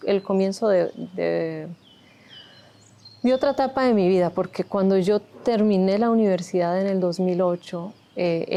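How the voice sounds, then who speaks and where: A woman's voice: lowish voice, mellow. A woman in her thirties who grew up in Colombia, telling her life story candidly and reflectively in a documentary interview. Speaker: interviewee, migrant shelter founder.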